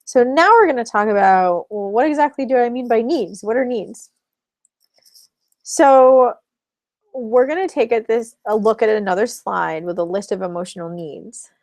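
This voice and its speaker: A woman's voice: fairly high pitch, normal speed, a little mellow, no room sound. A woman relationship coach teaching a live webinar in a chatty, explanatory way, laughing between points.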